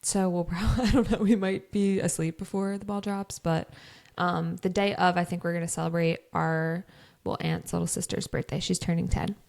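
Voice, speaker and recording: Gravelly young woman, rambling chat. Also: lowish voice, fairly even intonation, normal speed, few pauses, a little mellow. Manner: talkative and rambling, many "like" fillers. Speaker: podcast co-host. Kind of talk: casual chat.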